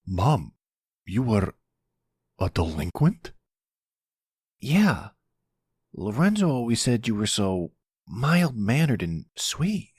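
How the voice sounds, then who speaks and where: An excitable, naive young lad acted by a grown man in an audio roleplay. The voice is lifted well above the man's own and very lively, tripping over words with blunt, gushing enthusiasm. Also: on the low side, husky, no echo, clean studio sound, voice pushed up, heavily acted. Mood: surprised. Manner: halting, stammering, gushing. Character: excitable, naive, blunt boy, son of mafia boss.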